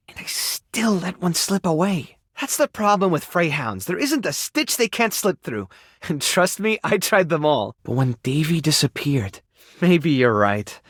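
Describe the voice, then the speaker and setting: Earnest animal rescuer, video game character, caring, coaxing, hesitant, animated intonation, dry close sound. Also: male voice, on the high side, dry recording. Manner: hesitant, soft-hearted, coaxing. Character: earnest, caring male animal rescuer, gentle and easily flustered. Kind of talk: expressive dramatic game voice acting.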